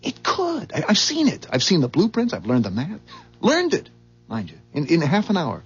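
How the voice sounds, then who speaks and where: Rattled, suspicious husband for vintage radio drama: husky, halting, emphatic, widely swinging pitch, dull tape sound. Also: male voice, wildly swooping pitch, heavily acted. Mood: stunned, worked up. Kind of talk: 1970s radio drama acting. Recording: dull, muffled 1970s radio recording.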